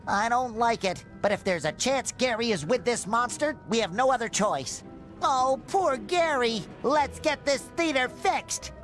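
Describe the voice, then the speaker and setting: An earnest, excitable cartoon sea sponge from a video game, with a high boyish voice. The delivery is lively and full of care, over clear background music. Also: male voice, a little slow, low-quality recording. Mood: worried, resolved.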